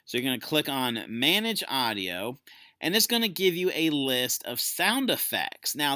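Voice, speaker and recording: A man giving an enthusiastic, emphatic software tutorial in an informal, folksy way, like an educator walking viewers through a demo. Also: mid-range voice, dry recording. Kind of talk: screencast tutorial presentation.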